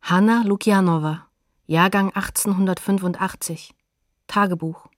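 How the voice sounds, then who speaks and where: A woman's voice: mid-range voice, steady tone, moderate pace, no room sound, studio quality. A woman announcing sources for a radio documentary in a terse, scripted delivery.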